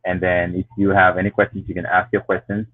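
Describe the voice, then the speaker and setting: A man's voice: sits low, level tone, fast talker. A man from Haiti hosting an interview, encouraging and casual, with many short replies. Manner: warm, encouraging, frequent backchannels. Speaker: host. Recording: tinny phone-like line.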